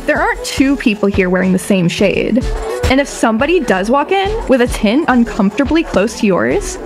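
Female narrator, higher register, playful scripted storytelling, music bed underneath. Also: sing-song, moderate pace. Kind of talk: scripted audio drama narration.

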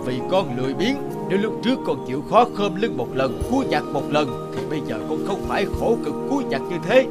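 A man voicing a patient, middle-aged peasant father in an animated folk tale, teaching in a measured, admonishing way. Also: a little high. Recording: loud music under the voice.

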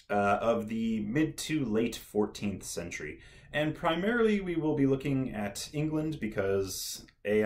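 A young man hosting a history video, talking casually to camera in a small room, with plenty of ums and an enthusiastic, nerdy tone. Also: fairly low pitch. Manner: relaxed, many ums and uhs, nerdy enthusiasm. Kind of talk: casual educational talk to camera. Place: small room indoors, dark backdrop.

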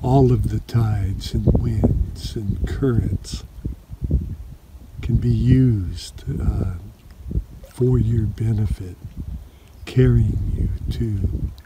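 A man sharing a spiritual reflection outdoors by a shoreline, talking softly and haltingly with many pauses and fillers. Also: on the low side, noisy background. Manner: slow, soft-spoken, halting with many fillers. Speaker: meditation teacher. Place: lakeshore outdoors.

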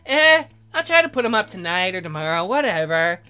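A woman's voice: lowish voice, very animated, brisk, bright, forceful tone, clean tone, faint music behind. A woman voicing a brash, rebellious young punk musician girl in a visual novel, cheeky and exasperated.

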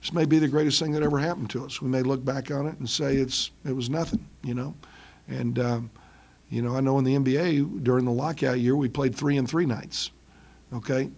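A middle-aged man, a basketball head coach, answering reporters after a loss, halting with many ums and restarts, speaking into a table microphone. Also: medium pitch, steady tone, creaky, darkish tone. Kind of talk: post-game press conference. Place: press room with curtain backdrop. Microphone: table mic on stand.

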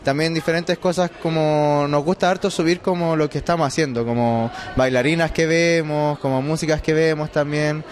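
Man, 30s, musician interviewee, higher-than-average voice, light background noise. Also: fairly even intonation, moderate pace, few pauses. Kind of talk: informal interview answers. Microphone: handheld microphone held by interviewer. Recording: faint ambient noise.

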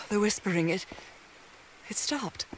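An actress playing an inquisitive, anxious 1930s woman explorer in a video game, thinking aloud haltingly with old-fashioned wonderment. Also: mid-range voice, a bit bright, slight background noise, poor audio. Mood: puzzled, intrigued. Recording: game ambience and effects behind voice.

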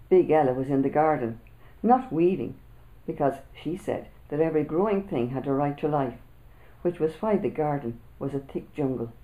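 Low-voiced older woman reading aloud. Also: phone-quality sound. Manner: lively first-person storytelling. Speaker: author reading her book. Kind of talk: children's book reading. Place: small indoor room with printed backdrop.

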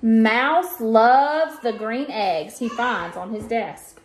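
High-pitched American woman reading to kids. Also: very slow. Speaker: read-aloud host. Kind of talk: picture-book read-aloud with casual chat for children. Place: small room at home. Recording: roomy echo, faint background noise.

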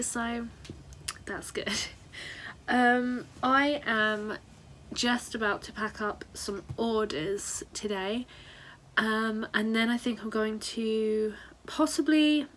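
A woman, chatting casually to camera in her home studio, her voice a little husky from a sore throat, with lots of ums and small laughs. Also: fairly high pitch, fairly bright tone, slight background noise, low-quality recording. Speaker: artist vlogger. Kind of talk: casual vlog chat to camera. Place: home studio room, indoors. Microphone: camera on the desk, close framing.

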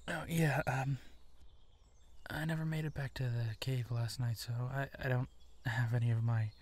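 A man playing an apologetic, self-doubting werewolf in human form in a roleplay audio drama, speaking softly and hesitantly. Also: mid-range voice, steady tone, normal speed, lightly acted. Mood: hesitant, sheepish. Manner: hesitant, soft-spoken, sheepish.

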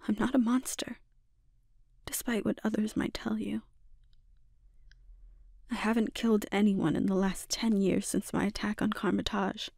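A woman voicing a calmly dominant, taunting sorceress villain in an intimate ASMR roleplay, speaking deliberately and lapsing into breathy whispers. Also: medium pitch, dry recording, studio quality. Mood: intense, resolute.

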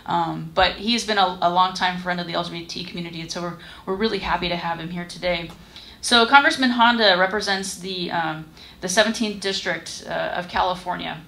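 A woman's voice: lowish voice, a little fast, vocal fry, fairly bright tone. A young woman reading a speaker introduction from notes at a podium microphone in a large hall, with some fillers. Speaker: event emcee introducing the speaker.

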